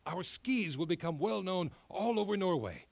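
A man's voice: sits high, animated, moderate pace, gravelly, dry recording, voice pushed up, exaggerated voice. A man voicing a good, wise old king in a storybook, speaking earnestly and with some drama. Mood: thoughtful, proud. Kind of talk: storybook character acting.

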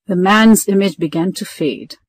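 A woman's voice: mid-range voice, normal speed, slightly rough, no echo, studio quality. A woman narrating a scripted children's story.

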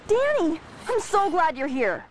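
A woman playing a brisk, capable teenage girl heroine in a cartoon game. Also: extremely high pitch, big pitch swings, heavily acted. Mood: matter-of-fact. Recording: noisy game sound effects behind.

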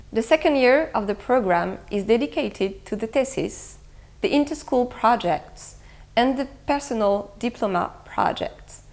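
A woman, giving a promotional talk to camera as a school's head of international affairs. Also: fairly high pitch. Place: indoor room with bookshelves. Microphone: lapel mic.

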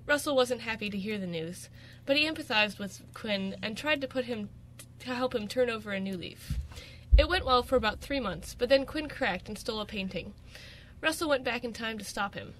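A woman's voice: fairly high pitch, a bit bright, a little hiss or hum, rough recording. A young adult woman reading a scripted story recap aloud as narration. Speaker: student radio show cast member reading the recap.

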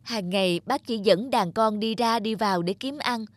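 A woman's voice: a little high, moderate pace, no echo, natural voice. A woman voicing a kindly, grateful mother hen in a children's cartoon. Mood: grateful, regretful. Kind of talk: children's cartoon storytelling dub.

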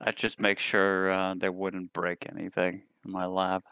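A man's voice: sits low, completely flat delivery, unhurried, sharp tone, clean tone, no room sound. A man chatting casually and jokingly as a player in a tabletop game.

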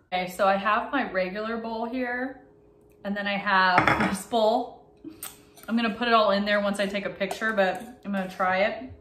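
A woman's voice: mid-range voice, a bit bright, a little hiss or hum. Chatty young woman vlogging at home. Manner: chatty, upbeat, talks to viewers while eating. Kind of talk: casual vlog chat. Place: home kitchen, indoors.

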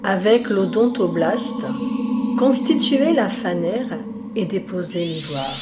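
A woman reciting an epic poem in a solemn, measured declamation, phrase by phrase. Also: medium pitch, lively intonation, heavy background noise. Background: loud music.

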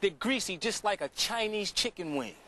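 Crude rapper sidekick, highish voice, American. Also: male voice, expressive, moderate pace, sharp tone, a little hiss or hum. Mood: mock salesman. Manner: slangy, crude street talk. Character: crude, griping young male rapper sidekick. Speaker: co-host of a parody fishing show. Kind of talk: comedy sketch, hip-hop banter.

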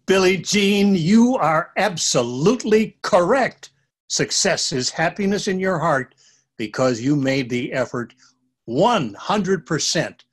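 A man's voice: fairly high pitch, slightly rough. A man in his sixties, an author reading scripted narration for a children's story.

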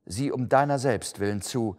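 A man's voice: mid-range voice, moderate pace, a little mellow, pitched above the performer's own voice, lightly acted. A man voicing a stern, doomed male ghost in chains for a storybook, solemn, declamatory and lamenting. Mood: urgent, warning. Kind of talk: dramatic storybook reading. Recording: long hall-like reverb.

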